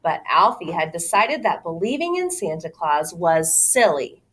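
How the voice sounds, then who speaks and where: A woman reading a children's storybook aloud in an expressive, dramatic way, like a teacher to young listeners. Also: lowish voice, unhurried, slightly rough. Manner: dramatic, exclaiming.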